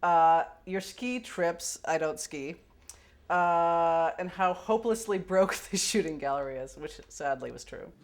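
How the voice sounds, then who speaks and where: A woman's voice: fairly low pitch, unhurried. A woman speaking candidly in an interview, wry and hesitant as she recollects. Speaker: film production executive, interviewee.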